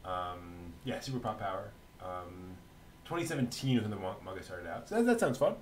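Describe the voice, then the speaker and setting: A man with a somewhat deep voice chats casually through news as a co-host. He speaks in hesitant bits, stopping and starting. The headset recording has a light layer of ambient noise. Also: big pitch swings, a little fast. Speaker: co-host of an anime news show. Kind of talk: casual chatty news rundown.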